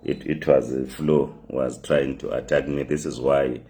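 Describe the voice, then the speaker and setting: A man with a hoarse voice from the flu, hosting a live talk show from his phone, speaking haltingly with many fillers and repeated words. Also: very deep voice, fairly even intonation, mellow. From migrant living in South Africa.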